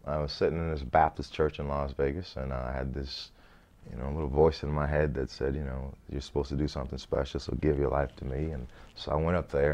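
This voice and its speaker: A man in his thirties with a deep voice, a comedian chatting in an interview in a small studio, easygoing and laughing often, restarting words as he thinks. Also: a little mellow. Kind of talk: relaxed interview chat. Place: small indoor studio set.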